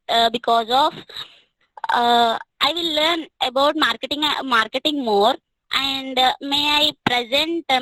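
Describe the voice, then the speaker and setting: This is a young woman, speaking to fellow students about a mock interview. Her voice sits high and sounds bright and close, with a dry, tight sound. She talks haltingly, filling pauses with fillers and restarting her phrases. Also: dry recording, poor audio. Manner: hesitant, many fillers and restarts.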